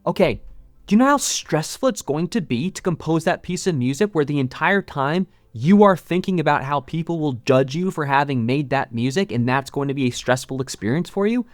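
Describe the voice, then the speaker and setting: Higher-voiced man coaching, very dry recording. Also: lively intonation, fairly quick, dry recording, faint music behind. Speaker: music coach and channel host. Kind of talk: motivational talk to camera, explaining.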